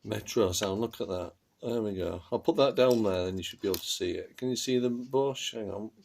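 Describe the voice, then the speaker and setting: A man's voice: fairly low pitch, fairly quick, gravelly, a little mellow, slight background noise. A man giving a casual, unscripted how-to for a video, hesitant and muttering to himself as he fiddles with small parts. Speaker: amateur video maker demonstrating a stylus replacement.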